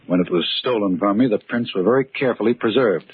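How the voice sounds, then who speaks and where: An actor playing a calm, shrewd stockbroker and amateur magician in a 1940s radio mystery, speaking in a measured, inquisitive way. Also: male voice, lowish voice, slightly rough. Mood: pondering. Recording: tinny, noisy old radio recording.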